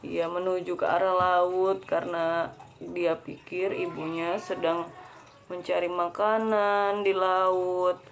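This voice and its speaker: A woman's voice: lowish voice, flat delivery, slow pace. A young woman reading a children's story aloud to a child, breaking off for chatty asides. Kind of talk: casual read-aloud storytelling.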